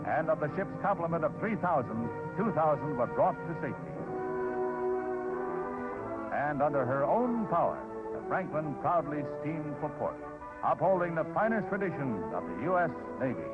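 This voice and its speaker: A man's voice: fairly high pitch, big pitch swings, normal speed. A man with an American accent delivers dramatic scripted newsreel narration in a solemn, declamatory way. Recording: tinny vintage newsreel soundtrack, loud music.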